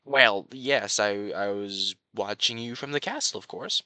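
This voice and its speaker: A very bright, crisp-edged young crown prince, acted by a man, on the low side in pitch. He speaks in formal, flowery courtly phrases, besotted and quietly possessive, as in a romantic audio drama. The sound is dry and intimate. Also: measured pace, dry recording. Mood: smug, adoring. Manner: formal, flowery, genteel speech. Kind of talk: romantic audio roleplay addressed to the listener.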